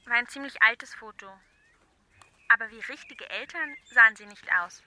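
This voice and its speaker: A woman's voice: on the high side, moderate pace, pushed and bright, a little hiss or hum. An actress playing a young girl in a radio crime drama, speaking in simple, childlike, matter-of-fact phrases.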